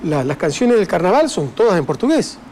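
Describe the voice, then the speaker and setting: A man's voice: high voice, very animated, a little fast, a little mellow, a little hiss or hum. An older man with an Argentine Spanish accent, speaking reflectively as an author in an interview, with many fillers and restarts. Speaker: author guest. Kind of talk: interview conversation.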